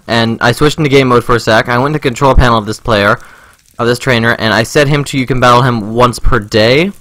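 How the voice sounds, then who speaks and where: A man's voice: mid-range voice, pushed and bright, no room sound, low-quality recording. A man giving casual, informal let's-play commentary, rambling and thinking aloud into a close microphone. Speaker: gaming video host.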